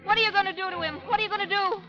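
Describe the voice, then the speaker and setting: A woman's voice: extremely high pitch, steady tone, very fast. An actress playing a fiery, devoted frontier woman in an old radio drama, with emotional, rustic Western speech. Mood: desperate. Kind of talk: 1930s-40s radio drama acting. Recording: muffled vintage radio recording, music underneath.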